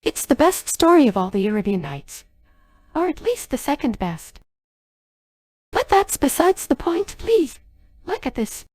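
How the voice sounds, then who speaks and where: A woman's voice: high-pitched, sing-song, measured pace, long pauses, a little mellow, no room sound, clean studio sound. A synthetic female voice playing an earnest, formal young genie of a magic ring in a dubbed game cutscene. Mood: urgent, earnest. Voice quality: synthetic text-to-speech voice. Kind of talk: dubbed game cutscene dialogue by text-to-speech.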